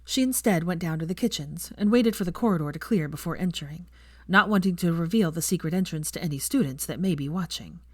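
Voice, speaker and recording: Lowish-voiced woman narrating a story. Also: quick pace, darkish tone, no room sound, studio quality. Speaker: narrator of fan fiction readings.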